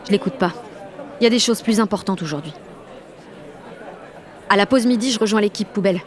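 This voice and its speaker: A woman's voice: medium pitch, a little fast, a faint crowd, close to an everyday voice. A woman playing a rebellious, sardonic sixteen-year-old schoolgirl who tells her story in blunt, swearing slang in an audio drama. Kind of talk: first-person audio drama narration in slangy speech.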